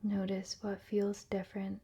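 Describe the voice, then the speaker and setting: A woman leading a guided relaxation meditation in a soft, breathy, often near-whispered voice, gentle and soothing with long pauses. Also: mid-range voice, monotone.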